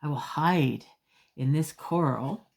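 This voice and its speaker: Storybook role: excitable girl octopus detective, inquisitive, taking her time over words, played by a woman reader. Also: low-pitched, slow pace, vocal fry, exaggerated voice. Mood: determined, curious. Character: curious, excitable young female octopus detective. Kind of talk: children's storybook character voice.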